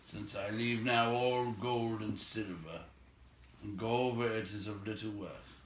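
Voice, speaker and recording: A man's voice: on the low side, fairly even intonation, a little hiss or hum, lightly acted. Guy doing a proud dying dwarf king for a storybook, solemn and regretful, drags his words, echoey room. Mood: solemn farewell. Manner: slow, solemn, formal.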